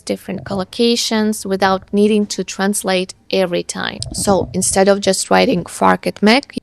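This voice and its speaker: A woman's voice: medium pitch, gravelly, no room sound. A young woman whose first language is Russian, talking earnestly to camera about learning a language, with small restarts and repeated words. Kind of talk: casual talk to camera.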